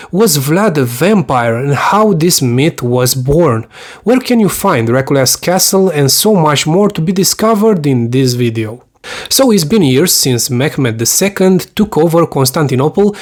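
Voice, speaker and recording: A man from Romania narrates in English with a clear Romanian accent. His voice sits a little above the usual male pitch. He explains things earnestly, with slightly formal, non-native phrasing, like a teacher hosting his own channel. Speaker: YouTube host, mythology teacher. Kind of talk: scripted review narration.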